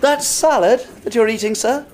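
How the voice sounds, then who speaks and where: An actor playing a vain, haunted painter who talks in a theatrical, grandiose way and turns desperate. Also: male voice, extremely high pitch, moderate pace, a little mellow. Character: haunted, vain, dissolute painter, growing frantic.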